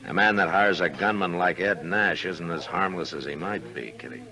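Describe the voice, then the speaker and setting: An actor playing a laconic American frontier marshal in a 1950s radio western, with a measured, understated but firm manner. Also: male voice, sits low, gravelly, bright, forceful tone, heavily acted. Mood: uneasy, thoughtful. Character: stern, laconic frontier town marshal, grown man. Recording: old radio recording, music behind.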